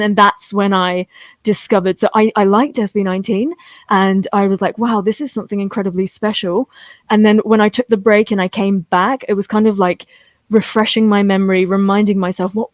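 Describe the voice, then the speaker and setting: A woman's voice: mid-range voice, no echo. A woman from Pakistan whose first language is Urdu, chatting as a guest on a live stream and laughing often. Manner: chatty, laughs often, self-admittedly competitive. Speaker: guest, reaction video creator. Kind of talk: casual live-stream interview.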